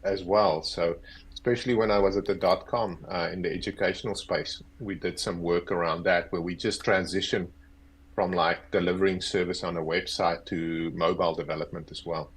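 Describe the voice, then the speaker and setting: Easygoing South African man, fifties, lowish husky voice, self-deprecating guest on earbuds. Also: fairly even intonation, normal speed. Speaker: guest, agile coach. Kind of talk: casual interview chat. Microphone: earbuds on a video call.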